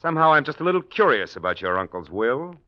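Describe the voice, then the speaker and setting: An actor playing a suave, cynical playboy husband in an old-time radio drama, glib and dryly sarcastic. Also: male voice, medium pitch, sing-song, fast, loud music behind the voice, lightly acted. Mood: sardonic. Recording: dull, lo-fi old radio recording.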